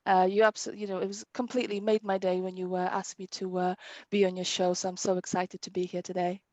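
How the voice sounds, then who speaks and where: A woman in her thirties from Poland, Polish her first language, telling her story as a guest interpreter in an eager, animated way, laughing often. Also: on the low side, quick pace, no room sound. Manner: self-described competitive.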